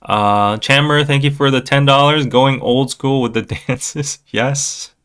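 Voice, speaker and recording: A man hosting a casual livestream, talking in a playful, rambling way with halting restarts and replies to viewers. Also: medium pitch, fairly even intonation, a bit bright, clean tone. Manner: playful, jokey, often chuckling. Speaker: podcast livestream host. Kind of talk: casual livestream chat, reading viewer comments.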